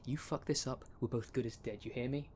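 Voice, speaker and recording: A man's voice: mid-range voice, steady tone, brisk, background noise, lightly acted. A man playing a tense, menacing poacher in an audio roleplay, threatening and swearing with urgency. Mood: low menace. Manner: threatening, gruffly urgent, cursing. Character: tense, menacing, foul-mouthed male poacher.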